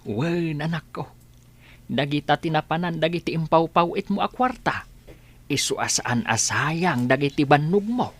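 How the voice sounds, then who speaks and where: A man plays a kindly old father in a radio drama. The voice is raspy and worn, with a very animated melody as he consoles his grown child. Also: fairly high pitch, moderate pace. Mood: proud, caring. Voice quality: husky, worn.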